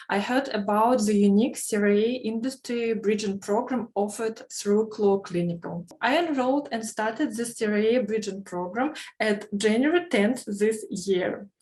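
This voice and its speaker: A woman tells her own story in a prepared, measured testimonial. Her voice sits a little high for a woman, and her phrasing is careful and slightly formal, with the odd grammar slip. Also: a little mellow, low-quality recording. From Kazakhstan. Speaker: program trainee sharing her career story.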